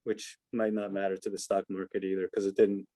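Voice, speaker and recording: A man's voice: sits low, flat delivery, brisk, warm tone, no room sound. An American man giving informal analysis as an investment adviser answering questions on a call, hesitant and hedging as he thinks aloud.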